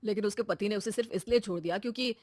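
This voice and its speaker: A woman's voice: mid-range voice, racing, a little mellow, close to an everyday voice. A woman voicing an earnest, trusting young adult brother in a moral story, in a casual, sincere way. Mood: bashful, subdued. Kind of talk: acted moral-story dialogue.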